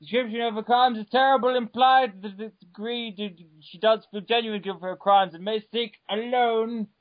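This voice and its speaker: Man, high voice, mock-dramatic reading, sudden shouts, trips over words, dull dry lo-fi recording. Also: steady tone, normal speed, dry recording. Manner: over-the-top, bursts into shouts, stumbles over words. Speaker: reader.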